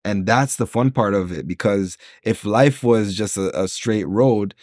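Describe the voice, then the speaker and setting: A man's voice: fairly low pitch, measured pace, darkish tone, no echo, clean studio sound. A man from Jamaica, an entrepreneur guest on a podcast, speaking in an earnest, motivational way with frequent fillers and restarts. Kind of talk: casual interview talk. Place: podcast recording.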